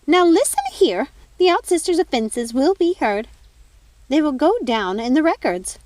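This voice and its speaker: Brief: storybook fairy godmother, old, prim and bossy, very high and swooping, full of officious indignation. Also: sing-song, a little slow, darkish tone, no echo. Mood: furious.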